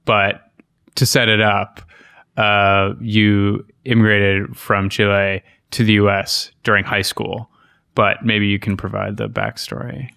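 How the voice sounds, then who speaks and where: A man hosts a podcast interview from a small studio, asking relaxed questions into a boom mic. His voice is very low with a gravelly fry. The sound is dry and close, with no room echo. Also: slow pace. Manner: brief encouraging backchannels, relaxed questions. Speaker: podcast host.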